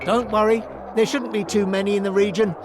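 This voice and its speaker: A man voicing a clever, level-headed little Gaulish warrior in a cartoon game, composed, brisk and wry. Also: high voice, level tone, close to an everyday voice. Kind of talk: animated game cutscene acting. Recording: music behind the voice.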